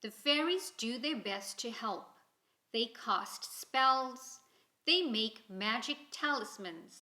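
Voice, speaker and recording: An echoey room carries this woman's storybook narration. Her voice is fairly high and bright, and she reads expressively and deliberately for young listeners. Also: animated. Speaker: storybook reader. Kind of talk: children's story narration, read aloud. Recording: roomy, echoing indoor sound.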